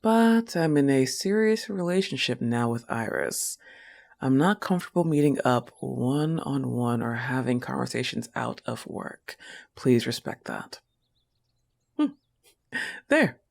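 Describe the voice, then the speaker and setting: A woman's voice: rumbling low, very animated, a little slow, vocal fry, a little mellow, full character voice. A woman voicing a protective, affectionate young goth wolf-girl girlfriend in an intimate audio roleplay, coaxing and reassuring the listener with a firm manner. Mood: encouraging.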